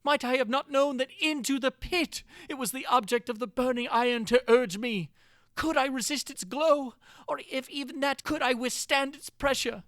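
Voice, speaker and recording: A man's voice: extremely high pitch, moderate pace, a bit bright, dry recording, clean studio sound, voice pushed up. A man playing a terrified, tormented prisoner crying out in melodramatic anguish in a gothic horror reading. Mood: desperate.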